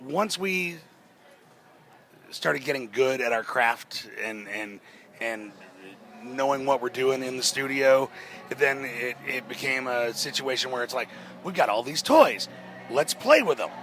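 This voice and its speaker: A man in a band answering interview questions at a festival, his voice naturally hoarse, talking in a halting way with many restarts and fillers. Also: medium pitch, lively intonation, a bit bright. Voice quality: hoarse-sounding. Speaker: rock band member. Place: outdoors at a music festival.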